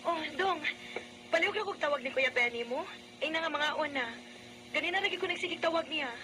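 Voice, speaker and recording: A very noisy radio drama recording carries an actress as a young Cebuano single mother. Her voice is high, earnest and emotive, that of a struggling but devoted woman. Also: brisk, lightly acted. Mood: alarmed, calling out. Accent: Cebuano Filipino.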